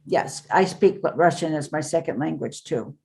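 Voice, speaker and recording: A woman's voice: low-pitched, expressive, moderate pace, gravelly, darkish tone. An older woman, a book author, talking in a casual interview, earnest and rambling with many fillers. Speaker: author and guest.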